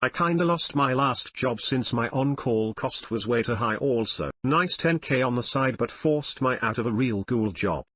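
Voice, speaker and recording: Husky male narrator, close dry sound. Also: mid-range voice, lively intonation, moderate pace, hardly any pauses, a bit bright, no echo. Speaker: narrator reading online forum posts and comments. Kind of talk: scripted narration of forum stories.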